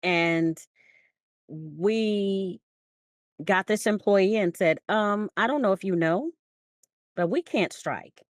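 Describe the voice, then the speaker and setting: A woman's voice: mid-range voice, slow pace, pauses often, dry recording, clean studio sound. An American woman co-hosting a podcast in conversational discussion, telling stories emphatically and posing rhetorical questions. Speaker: podcast co-host.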